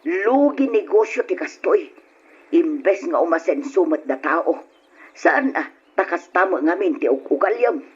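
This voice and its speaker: Husky, fed-up scolding wife. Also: female voice, lowish voice, sing-song, unhurried, a little mellow, full character voice. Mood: exasperated. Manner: nagging, exasperated. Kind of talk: old radio drama acting. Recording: muffled old radio drama recording.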